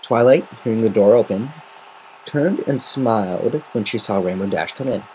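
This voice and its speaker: A man reading a story aloud as an amateur, in measured, expressive semi-dramatic narration. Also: on the low side. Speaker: amateur reader of fan fiction. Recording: noisy amateur home recording.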